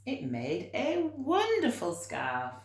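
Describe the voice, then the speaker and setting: A woman reads a children's story with chatty asides. Her delivery is slow, with lively swings in pitch. The recording has a clear room echo.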